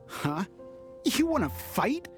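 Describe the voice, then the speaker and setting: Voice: mid-range voice, sing-song, slow. An actor playing an irritated, distrustful townsperson in a game, blunt and scolding. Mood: confrontational. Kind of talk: game dialogue acting. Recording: clean studio voice over game music.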